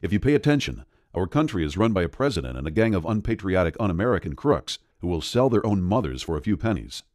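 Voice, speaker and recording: Urgent lowish male sales voice-over. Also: sing-song, fast talker, a little mellow, dry recording, studio quality. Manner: urgent, persuasive pitch delivery. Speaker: sales pitch narrator.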